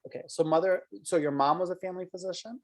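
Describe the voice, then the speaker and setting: A woman's voice: lowish voice, fairly even intonation, quick pace, a little mellow. A woman acting as an interview coach, asking probing questions and thinking aloud as she guides a mock interview.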